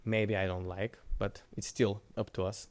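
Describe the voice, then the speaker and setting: A man's voice: lowish voice, flat delivery, normal speed. Man giving a conference talk. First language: Bulgarian. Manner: loose, conversational, with frequent restarts. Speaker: conference speaker. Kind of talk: conference presentation with Q&A.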